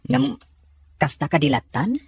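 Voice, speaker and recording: A man acting a concerned friend giving frank advice in a radio drama, with a husky voice and a Filipino accent. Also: a little high, sing-song, unhurried, a little mellow, no echo, heavily acted.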